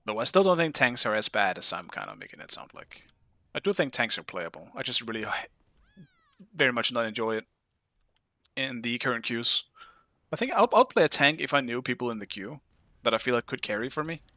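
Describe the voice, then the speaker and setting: A Danish-accented man streams a game and chats with his viewers in a bright, forceful voice. He comes across as curious and talkative, with casual swearing. The sound is dry and close. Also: mid-range voice, very animated, brisk, no echo. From Denmark. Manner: chatty, curious, swears casually, talks to chat. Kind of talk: casual live-stream chat while playing.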